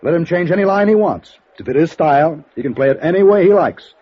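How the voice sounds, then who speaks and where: Husky, proud American film star. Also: male voice, fairly high pitch, expressive, fast. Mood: defiant. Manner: insistent, theatrical, defensive. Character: proud, touchy veteran male film star. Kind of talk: 1950s radio drama acting. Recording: muffled vintage radio recording.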